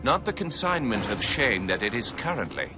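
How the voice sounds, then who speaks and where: Male narrator, formal and solemn, mid voice, very loud score under. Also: medium pitch, big pitch swings, moderate pace, music in the background. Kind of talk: scripted lore narration. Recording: game audio with very loud score.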